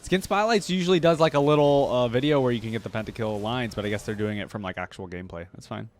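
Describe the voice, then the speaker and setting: A man's voice: mid-range voice, fast, slight background noise. A man hosting a reaction video, commenting casually in an amused, slangy, informal way.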